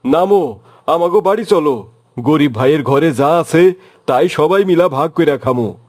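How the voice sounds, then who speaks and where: A man's voice: a little high, animated, normal speed, a little mellow. A man voicing a kind, practical village father in a cartoon, plain and take-charge, with a rural Bangladeshi accent. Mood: kind, steady.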